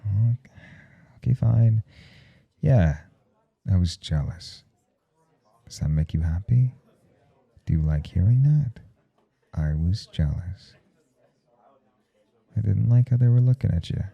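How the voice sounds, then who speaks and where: A man playing a flustered, jealous professor in an intimate audio roleplay, speaking haltingly and gruffly with a gravelly voice and plenty of swearing. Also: fairly low pitch, lively intonation, muffled recording, heavily acted. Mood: jealous confession. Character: jealous, flustered male professor smitten with his teaching assistant.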